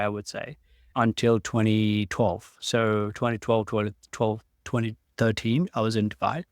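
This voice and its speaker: A man in his late thirties from Sri Lanka, a tech founder telling stories as an interview guest, halting with many restarts. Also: fairly low pitch, no echo, clean studio sound. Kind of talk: casual interview storytelling.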